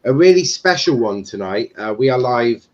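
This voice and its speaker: A man's voice: medium pitch, lively intonation, moderate pace. A young man hosting a casual football commentary stream, rambling with fillers and swearing. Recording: dull lo-fi stream audio.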